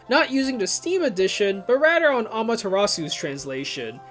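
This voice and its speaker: A video creator giving scripted commentary in an informal, wry way. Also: medium pitch. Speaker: video creator reviewing visual novels.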